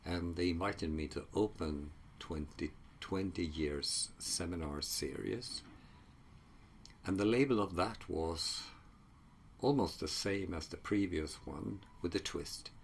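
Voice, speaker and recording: A man whose first language is Swedish, a psychology researcher talking casually in a solo video, halting often with fillers and restarts. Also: low voice, vocal fry, a little hiss or hum.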